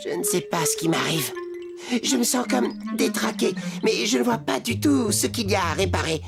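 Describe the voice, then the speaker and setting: A fussy robot mechanic from a children's cartoon. The delivery is emphatic and swings widely. Music plays behind the voice. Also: female voice, mid-range voice, expressive, moderate pace, vocal fry. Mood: dejected. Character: stern, stubborn robot mechanic, easily dejected. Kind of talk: French children's cartoon dubbing.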